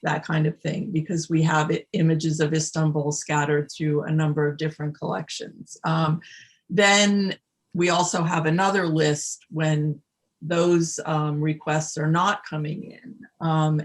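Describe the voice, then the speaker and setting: A panelist explains things off the cuff in a deliberate, halting way. The pace is slow, with frequent thinking pauses, and the intonation stays quite flat. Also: mid-range voice. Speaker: panel speaker answering questions. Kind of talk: unscripted explanatory answer.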